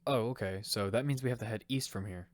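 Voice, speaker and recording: A man's voice: lowish voice, fast talker, no room sound, lightly acted. A man playing a determined young fighter who narrates his own survival story in an amateur audio drama. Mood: casual, focused. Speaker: narrator and lead actor. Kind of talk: first-person narration of amateur audio fiction. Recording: clearly noisy amateur recording with clicks.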